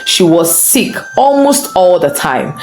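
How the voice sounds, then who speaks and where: A woman's voice: on the low side, very animated, slow pace, a little mellow, echoey room. A woman storyteller reading a scripted folktale narration.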